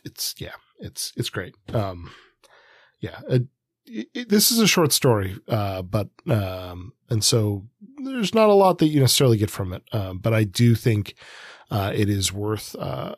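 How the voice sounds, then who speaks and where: A man co-hosting a book podcast in a casual, informal chat, halting and often trailing off mid-thought. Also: lowish voice, vocal fry, breathy edge, dry recording. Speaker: podcast co-host. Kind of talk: casual conversational book discussion.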